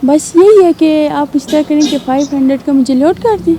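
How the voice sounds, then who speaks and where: A young man voicing a polite, coaxing young woman on a phone call, in a soft girlish falsetto. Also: a little hiss or hum. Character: sweet-talking young woman caller. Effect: heard over a loudspeaker phone call.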